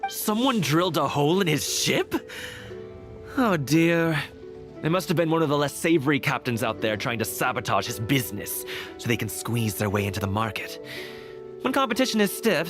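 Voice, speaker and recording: An actor playing a talkative, shrewd and neighborly merchant in a video game, speaking in a folksy, earnest way. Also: male voice, medium pitch, close to an everyday voice. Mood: concerned, musing. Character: chatty, shrewd, neighborly male merchant shopkeeper.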